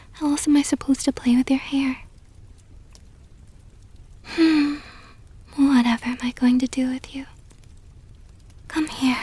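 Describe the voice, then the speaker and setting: A woman voicing a possessive, doting vampire countess in an intimate ASMR roleplay, whispering softly and slowly with a tender, teasing, coaxing manner. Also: high-pitched. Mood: soothing, affectionate. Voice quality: breathy, soft whisper. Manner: slow, coaxing, affectionate murmur.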